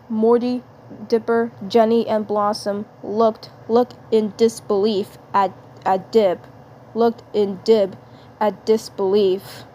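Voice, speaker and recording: Amateur female story narrator, higher-pitched and slow, halting delivery, faint noise behind. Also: dark tone. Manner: halting, repeats words and phrases. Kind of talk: reading fan fiction narration aloud.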